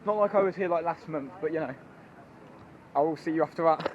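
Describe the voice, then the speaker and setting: Hoarse, high-voiced young man. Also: fairly quick, highs cut off, a little hiss or hum. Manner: chatty, rambling, excitable, many ums. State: hoarse, losing his voice. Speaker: theme park vlogger. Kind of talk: walking vlog commentary. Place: outdoors in a theme park.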